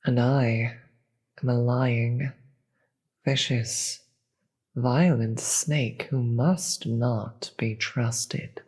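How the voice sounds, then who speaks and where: A performer plays a regal demon queen in a fantasy audio drama. The voice is husky and breathy, with slow, deliberate, formal speech. The queen sounds sardonic and tired of it all. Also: mid-range voice, fairly even intonation, darkish tone. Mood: weary, bitter. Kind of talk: scripted audio roleplay.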